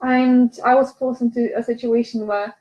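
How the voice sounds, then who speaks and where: A woman's voice: a little high, level tone, normal speed, a little mellow, reverberant, lo-fi sound. A young woman whose first language is Polish, chatting casually in an interview with frequent laughs and self-deprecating remarks.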